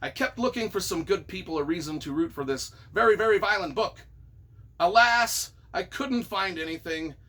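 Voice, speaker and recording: A man's voice: high-pitched, moderate pace, sharp tone. A man reading reviews aloud and reacting off the cuff as their author, with a theatrical, emphatic, mock-exasperated delivery.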